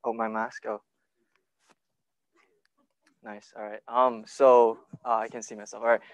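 A man's voice: mid-range voice, level tone, a little slow, dry recording, low-quality recording. A young man from Malaysia, a student giving a nervous personal testimony full of ums. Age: young adult.

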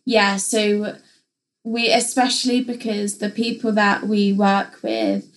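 A woman's voice: medium pitch, flat delivery, slow pace. A young woman from England, co-hosting a podcast in a casual, open, chatty way with many fillers. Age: young adult. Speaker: podcast co-host.

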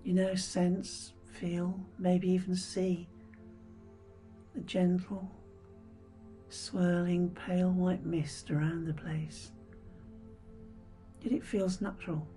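Soothing woman doing guided meditation, hushed n breathy, lowish warm voice, music behind her. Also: little pitch movement. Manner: soothing, hushed, breathy delivery with gentle pauses. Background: background music.

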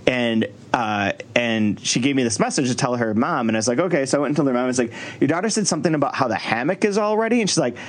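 A man's voice: mid-range voice, lively intonation, fast, hardly any pauses. A middle-aged American man telling casual anecdotes, acting out bits of dialogue as he goes. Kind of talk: casual chat. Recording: clear background noise.

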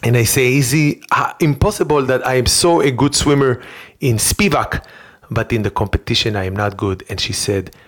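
An earnest, childlike talk show host with an Israeli accent, as a comic performer plays it. The English is broken and halting, full of repetition and naive boasting. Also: medium pitch, lightly acted. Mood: proud, wistful. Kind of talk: comedic children's talk show hosting and storytelling.

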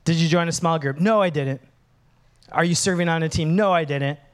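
A man's voice: fairly high pitch, a little fast, clean tone. A man, preaching a candid, humorous sermon as pastor. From Minnesota, USA. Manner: animated, candid, humorous, acts out dialogues.

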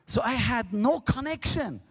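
A man's voice: very high-pitched, husky. Man preaching in a reverberant hall. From Iran, near the Turkish border. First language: Azeri. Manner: earnest, halting non-native English, grows animated while telling his story. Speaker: guest speaker. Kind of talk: church testimony and talk.

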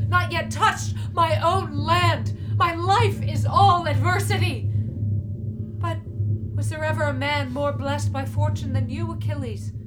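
Female actor as seafaring epic hero, very high pitch, solemn, eloquent, weary, theatrical, prominent music, audio drama. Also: poor audio. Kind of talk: classical verse audio drama narration. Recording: loud music behind the voice.

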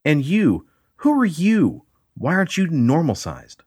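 A man voicing a bewildered, agitated alien abductee in a theatrical, questioning reading, with a husky voice. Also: fairly high pitch, huge pitch swings, unhurried, a little mellow. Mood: awed, confused.

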